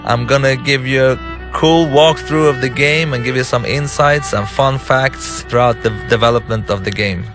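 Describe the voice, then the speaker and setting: A man from Lebanon, an Arabic native speaker with a Lebanese accent, gives a halting developer commentary as the game's writer-director, often searching for his words. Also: medium pitch, over music.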